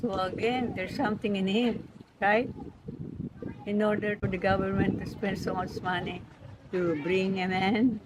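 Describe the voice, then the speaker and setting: A woman in her nineties from the Philippines, speaking with a Filipino accent and Pampango as her first language, rambling gently and laughing easily as she recalls her life. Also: medium pitch, steady tone, measured pace. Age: 90s. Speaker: interviewee. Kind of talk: reminiscing in casual chat. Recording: lo-fi with background noise.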